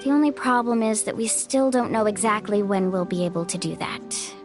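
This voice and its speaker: A woman's voice: mid-range voice, brisk, lightly acted. An actress voicing a caring young woman who leads her group as their guide in a video game, reassuring and upbeat. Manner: warm, reassuring, leader-like. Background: game music.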